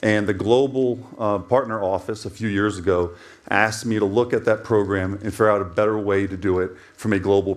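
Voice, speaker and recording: A man with an American accent, a company CEO giving a business presentation at a conference, steady and businesslike with frequent fillers. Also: lowish voice. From United States.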